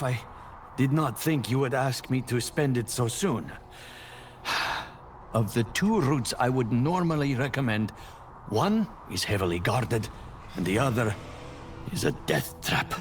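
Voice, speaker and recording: Wry, lively game adventurer. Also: male voice, medium pitch, unhurried, a little hiss or hum. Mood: wry reluctance. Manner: wordy, dramatic, wry. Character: courteous, wry, self-preserving male traveler. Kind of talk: video game dialogue.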